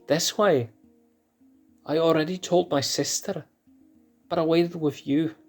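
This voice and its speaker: A man's voice: a little high, fairly even intonation, unhurried, faint music behind, voice pushed up. A man voicing a sly, teasing young male lion cub.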